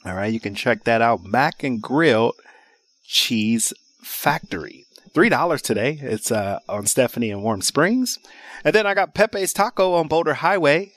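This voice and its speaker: A man's voice: medium pitch, measured pace, fairly bright tone, dry recording. A man hosting a live radio shopping show from a studio, rattling off deals in an upbeat sales patter and repeating the call-in number. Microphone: studio microphone.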